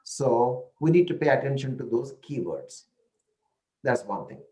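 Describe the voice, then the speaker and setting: A man's voice: medium pitch, very animated, measured pace, a little mellow. A man with an Indian accent who speaks an Indian language natively, telling stories in a casual talk and often checking in with "right?" and "you know".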